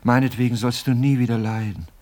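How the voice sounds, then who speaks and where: A man's voice: medium pitch, fairly even intonation, darkish tone, natural voice. Man acting a scheming, hot-headed businessman. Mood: wheedling. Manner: wheedling and self-important, quick to exasperation. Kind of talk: radio play acting.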